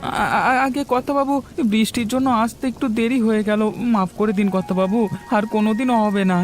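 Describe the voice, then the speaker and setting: A man's voice: high voice, fairly even intonation, a little fast, faint music behind, natural voice. A man voicing a poor, humble young milk boy in a cartoon story, earnest and pleading in manner. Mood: pleading, apologetic. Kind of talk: Bengali cartoon story voice acting.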